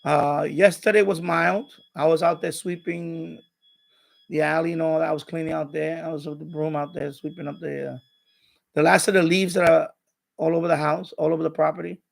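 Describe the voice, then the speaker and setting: A man's voice: fairly high pitch, fairly even intonation, brisk, vocal fry, rough recording. An older man hosting a live stream with casual, rambling chat, often hesitating and repeating himself.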